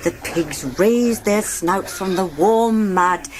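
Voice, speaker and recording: A woman reading a children's read-along story aloud, expressive and sing-song, acting out the sound effects. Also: mid-range voice, takes it slowly, slight background noise. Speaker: storybook narrator.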